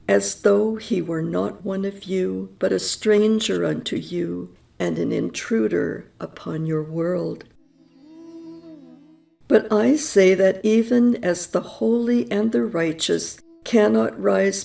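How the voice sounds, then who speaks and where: A woman reading prose poetry aloud in a slow, solemn, reverent manner, with measured phrasing and long pauses. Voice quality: gravelly, low and mellow. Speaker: audiobook reader. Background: soft music.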